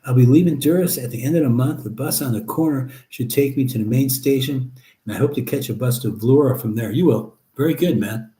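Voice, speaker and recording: A middle-aged man hosting a casual live chat, reading viewer comments aloud and answering them in a relaxed, chatty way. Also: mid-range voice, brisk. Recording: echoey room.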